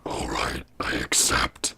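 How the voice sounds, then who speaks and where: A man playing a brooding young masked vigilante in a comic radio play, with a rasping growl and terse manner. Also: takes it slowly, bright, forceful tone. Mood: reluctant, grudging.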